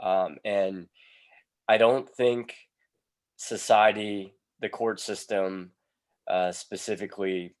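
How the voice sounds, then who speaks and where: Cop giving a talk on a video call, congested, halting, deep low voice. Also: male voice, a little mellow. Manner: hesitant, many ums between phrases. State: congested with a cold. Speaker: police sergeant.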